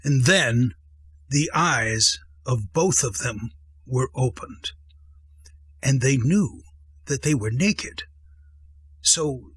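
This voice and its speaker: A man giving a dramatic scripture narration in a measured, deliberate manner as the reader. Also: medium pitch, a bit bright, long reverb tail.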